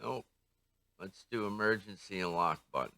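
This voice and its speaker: An older man giving a casual hands-on product review, thinking aloud in an unhurried, tentative way. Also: lowish voice, pushed and bright, no echo. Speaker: radio reviewer.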